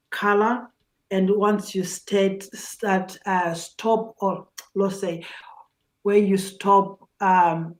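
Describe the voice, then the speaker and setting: A middle-aged woman with a Zimbabwean accent speaks slowly in a slightly low voice. She gives earnest advice in an interview, halting and repeating words as she looks for the right phrase. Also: a little mellow, poor audio. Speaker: talk show guest. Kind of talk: personal advice in a talk show interview.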